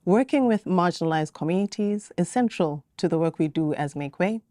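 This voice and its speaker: A woman moderating a conference panel, speaking in a clear, measured way that trails off at the end of phrases. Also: lowish voice, expressive, mellow, no echo, studio quality. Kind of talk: panel introductions. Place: conference venue.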